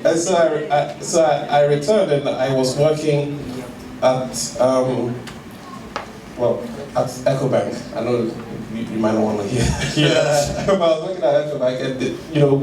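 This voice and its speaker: A man recounts his story hesitantly, pausing often and restarting his sentences. He is recorded from some distance in an echoey room with clear background noise. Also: mid-range voice. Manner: hesitant, many fillers and restarts. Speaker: guest, tech founder. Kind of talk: conversational interview.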